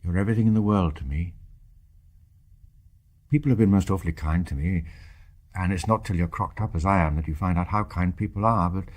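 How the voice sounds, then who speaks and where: An actor playing a devoted, bedridden English husband in a radio drama, husky, earnest and well-spoken in Received Pronunciation, brave and tender with bitter despair underneath. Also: male voice, deep voice, a little fast, pauses often, darkish tone, natural voice. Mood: loving, earnest. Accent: British Received Pronunciation. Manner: earnest, old-fashioned upper-class phrasing.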